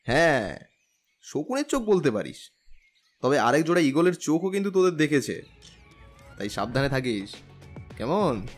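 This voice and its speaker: A man's voice: on the high side, normal speed, pauses often, faint music behind. A man playing a smug young man in an audio story, teasing his family with a cocky manner. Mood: amused teasing. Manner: teasing, lively. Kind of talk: Bengali audio story acting.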